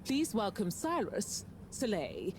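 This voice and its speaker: A brisk, formal female CEO. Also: medium pitch, huge pitch swings, a little hiss or hum, heavily acted. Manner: formal, curt.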